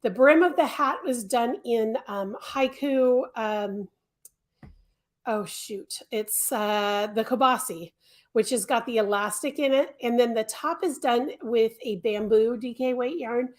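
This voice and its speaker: A woman's voice: fairly high pitch, a little slow. A middle-aged woman hosting a casual crochet livestream, losing her voice and talking with many ums and restarts.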